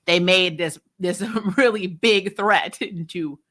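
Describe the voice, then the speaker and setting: Bright-voiced woman giving opinionated recaps. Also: fairly low pitch, takes it slowly. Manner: animated, sarcastic mock-quoting, mild swearing. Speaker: host of a TV review channel. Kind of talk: casual, opinionated episode recap.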